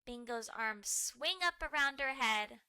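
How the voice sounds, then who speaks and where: A woman reads a children's story aloud with expressive, animated phrasing. Her voice is high and very bright, moving at a slow, deliberate pace. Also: no echo, clean studio sound. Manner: animated, clearly paced for early readers. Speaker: storybook read-aloud narrator. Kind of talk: expressive scripted narration for young children.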